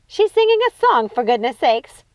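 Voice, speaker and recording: Woman acting excitable witch sister, storybook villain, bossy, exasperated, high and shrill, swooping intonation. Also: moderate pace, no room sound.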